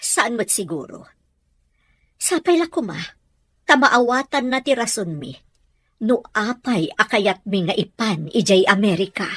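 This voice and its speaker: A concerned mother played by a woman with a husky voice and lively intonation. She coaxes in a Filipino accent, recorded dry for a family audio drama. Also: medium pitch, unhurried, long pauses, dry recording. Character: coaxing, concerned mother of teenage children. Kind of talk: Ilocano audio drama acting.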